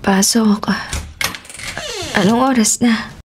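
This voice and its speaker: A woman's voice: mid-range voice, steady tone, slow, heavy background noise, lightly acted. An actress playing a weary, frightened young Filipino woman in a radio drama, with an exhausted, anxious delivery. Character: weary, frightened young woman travel vlogger under a curse.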